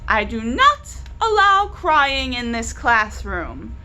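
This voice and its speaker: A woman voicing a mean woman schoolteacher from a children's storybook, speaking in a stern, deliberate, threatening way. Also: fairly high pitch, very animated, sharp tone, slight background noise, heavily acted. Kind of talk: children's storybook read-aloud character.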